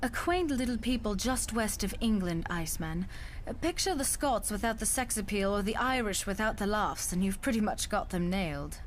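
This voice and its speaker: A woman's voice: mid-range voice, expressive, moderate pace, slight background noise, natural voice. A woman playing a cocky, teasing British intelligence colonel in a motion comic, with a dry manner. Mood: teasing, confident.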